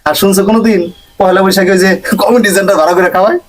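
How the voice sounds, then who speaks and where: Man playing a high-pitched, showy Bangladeshi complainer. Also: fairly even intonation, racing, close to an everyday voice. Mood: showy complaining. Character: put-upon spender boasting and moaning about festival expenses. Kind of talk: scripted comic drama dialogue.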